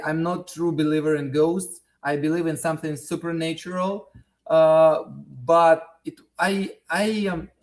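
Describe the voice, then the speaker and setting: Slow, halting Russian-accented man. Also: on the high side. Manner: halting, many fillers and restarts. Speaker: interview guest. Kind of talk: conversational interview answers.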